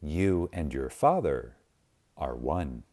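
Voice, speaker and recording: A man reading a spiritual book aloud in a solemn, reverent manner, with deliberate pauses and emphasis. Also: very deep voice, sing-song, warm tone. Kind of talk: reverent scripted reading, audiobook-like.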